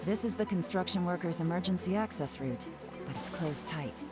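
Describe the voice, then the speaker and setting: A woman's voice: lowish voice, animated, normal speed, loud music behind the voice, close to an everyday voice. A woman voicing a composed, confident adventurer in a video game, sly and teasing with a playful wit. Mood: businesslike. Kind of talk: video game character acting.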